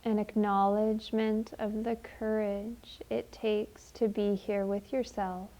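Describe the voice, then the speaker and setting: A woman leading a guided meditation in a gentle, unhurried, soothing manner with long pauses. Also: medium pitch, level tone, low-quality recording.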